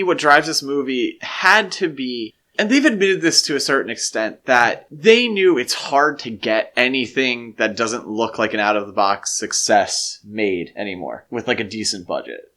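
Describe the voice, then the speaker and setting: A man's voice: mid-range voice, very animated, moderate pace, hardly any pauses, bright, forceful tone. A man co-hosting a podcast, discussing film casually in an opinionated, analytical way. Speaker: podcast co-host.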